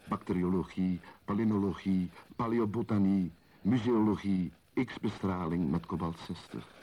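A man acting as a solemn, contemplative storyteller in a radio play, speaking in a measured, deliberate way. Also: low-pitched, dark tone, slightly rough, exaggerated voice. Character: solemn, contemplative male storyteller of ancient kings and mysteries. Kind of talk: scripted radio play narration, solemn and literary.

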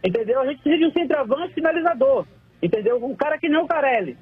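A Brazilian man from Salvador with a slightly Carioca accent, calling in to chat about football, relaxed and often asking "entendeu?". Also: very high voice, quick pace. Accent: Carioca-tinged Brazilian Portuguese. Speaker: caller. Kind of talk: casual phone-in chat. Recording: tinny phone line with faint music.

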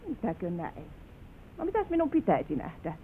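An actress playing a flustered, plain-spoken household servant in old Finnish radio play style, fussing and exclaiming in a folksy way. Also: female voice, lowish voice, wildly swooping pitch. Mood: puzzled. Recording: tinny, noisy old radio recording.

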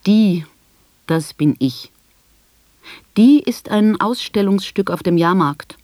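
Radio drama: blind female narrator, sardonic and measured, literary delivery, medium-pitched voice, dry studio sound. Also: lively intonation, darkish tone, no echo. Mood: bitter. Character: blind woman recounting her life with bitter, ironic humour.

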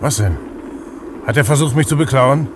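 A man playing an aggrieved, bullying crook in a radio drama. His mid-pitched voice is combative and blustering, with faint street noise behind. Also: normal speed, close to an everyday voice. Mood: indignant. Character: hot-headed, aggrieved small-time crook, a bullying man. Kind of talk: radio play dialogue.

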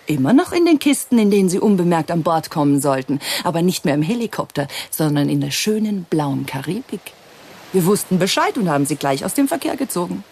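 A woman's voice: on the low side, big pitch swings, a little fast, mellow, slightly rough, full character voice. An actress playing a cold, greedy female villain in a radio play, giving orders with sardonic, mock-polite menace. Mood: smug, menacing.

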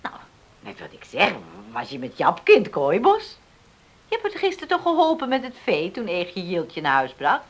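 An actress playing a firm, no-nonsense farm mother with a brisk, terse manner in a Dutch radio play. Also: mid-range voice, wildly swooping pitch. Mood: reproachful.

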